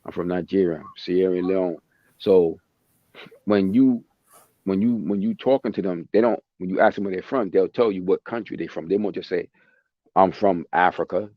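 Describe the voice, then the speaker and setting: Deep voiced guy going off on a point, repeats himself a lot, dry muffled mic. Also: steady tone, normal speed, dry recording. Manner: passionate, emphatic, repeats himself. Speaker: podcast host. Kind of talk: casual chat. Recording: dry, dull lo-fi sound.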